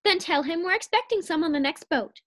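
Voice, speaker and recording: A woman's voice: very high voice, lively intonation, fairly quick, fairly bright tone. A woman acting a scheming, cheerful, scatterbrained housewife in a 1940s-style radio sitcom. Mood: breezy.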